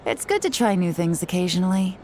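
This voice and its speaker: Woman playing a wry, low-toned official. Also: expressive, fairly quick, darkish tone, slight background noise, natural voice. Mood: wry, wary. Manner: wry, blunt, guarded. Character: shrewd, dry-witted female government official. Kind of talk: video game voice acting.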